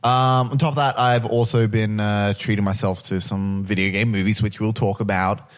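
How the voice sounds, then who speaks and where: A man's voice: lowish voice, flat delivery, normal speed. A man co-hosting a podcast, talking casually about films and TV with some swearing. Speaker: podcast co-host.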